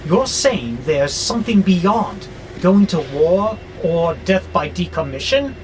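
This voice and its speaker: An actor playing a belligerent, sardonic warehouse robot who grumbles with exasperation in an audio drama. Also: male voice, sits high, big pitch swings, slow, slight background noise, heavily acted. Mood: skeptical. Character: grumpy, belligerent male warehouse robot, war-hungry, wary.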